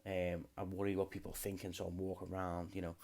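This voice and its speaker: A man's voice: deep voice, completely flat delivery, fast, creaky, a little mellow. A man in his late twenties, hosting a podcast alone in a rambling, swear-heavy casual chat. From United Kingdom. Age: late 20s. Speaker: podcast host.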